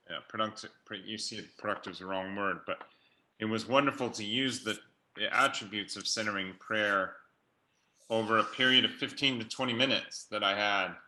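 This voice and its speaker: A man with a naturally loud, very bright and forceful voice, pitched a little low. He talks in a measured, thoughtful way, with pauses. He is heard over a video call mic. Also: poor audio. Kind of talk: reflective group conversation. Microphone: computer mic on a video call.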